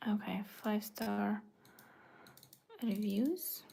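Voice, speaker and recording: A woman's voice: mid-range voice, extremely slow, creaky, dark tone, a little hiss or hum. A woman from Bulgaria, designing a website on a livestream and murmuring her thoughts aloud, often close to a whisper. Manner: murmuring half to herself, often dropping to a whisper. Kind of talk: casual livestream, thinking aloud while working.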